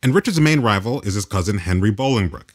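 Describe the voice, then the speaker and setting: A man's voice: fairly low pitch, animated, quick pace, no room sound, studio quality. A man speaking as host and narrator in scripted podcast narration.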